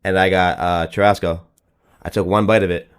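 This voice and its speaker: Podcast host guy rattling off casual stories, slangy and sweary, kinda low voice. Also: fairly even intonation, fairly quick, clear, resonant tone. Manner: blunt, slangy, profane. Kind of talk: casual chat and anecdote.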